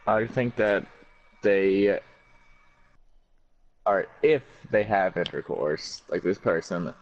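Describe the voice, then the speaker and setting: A man's voice: low voice, slow pace. A man co-hosting a casual chat, making hesitant, joking remarks with restarts. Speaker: co-host. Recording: dull lo-fi sound.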